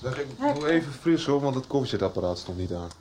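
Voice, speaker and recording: An actor playing a blunt, teasing young adult son in a family radio soap. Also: male voice, medium pitch, big pitch swings, fast, darkish tone, far from the mic, slight background noise, close to an everyday voice. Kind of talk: 1990s radio soap acting.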